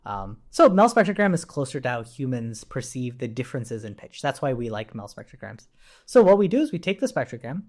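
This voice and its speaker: A man's voice: medium pitch, very animated, a little fast, darkish tone. A man giving an informal technical code walkthrough as a tutorial instructor, conversational and often checking in as he explains. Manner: conversational, often tags points with "right?".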